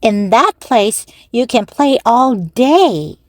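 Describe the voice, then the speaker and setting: A woman voicing a young girl friend who eagerly tempts a companion, in a higher, playful tone. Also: very animated, slow, dry recording, full character voice. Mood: coaxing.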